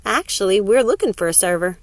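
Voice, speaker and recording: A woman's voice: mid-range voice, expressive, a little fast, dry recording, lightly acted. A woman voicing a gushing, welcoming small-town restaurant hostess in an audiobook story. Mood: welcoming, hopeful.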